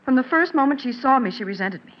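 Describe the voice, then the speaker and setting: Wounded, suspicious stepmother, 1940s radio play. Fairly high, animated, earnest, muffled vintage sound. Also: quick pace, slight background noise. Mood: hurt, bitter. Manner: earnest, aggrieved. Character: anxious, wounded stepmother and wife, suspicious and resentful. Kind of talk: 1940s radio drama acting.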